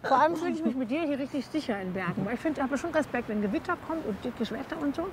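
A woman's voice: sits high, animated, very fast, crowd noise. A woman presenting a travel hike in casual chat, curious and enthusiastic, putting questions to her companion. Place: outdoors on a mountain trail.

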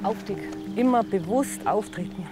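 A woman's voice: medium pitch, moderate pace. A woman from the Kleinwalsertal with a Walser German accent, guiding a hike and explaining the landscape with enthusiasm, often adding tag questions. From Kleinwalsertal, Austria. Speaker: local hiking guide. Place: outdoors on a mountain trail. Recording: background music under the talk.

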